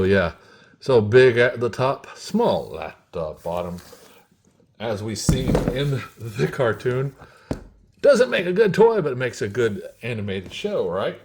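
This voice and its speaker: Slow-paced man reviewing a toy. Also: medium pitch, a little mellow, slight background noise. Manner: unhurried, repetitive, pausing between short phrases. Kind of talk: casual unboxing and product review commentary.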